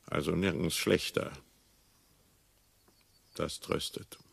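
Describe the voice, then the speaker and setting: An actor in a radio play voicing a grumpy, dry husband, curt and deadpan. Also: male voice, sits low, slow, vocal fry, slightly rough, no room sound, full character voice. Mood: grumbling. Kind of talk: radio drama acting.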